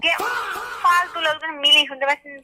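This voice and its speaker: A woman speaks quickly in a very sharp, bright voice. She is curt and indignant, firing back challenges over a telephone line with faint noise. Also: sits high, fairly even intonation. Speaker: prank call recipient. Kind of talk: phone argument.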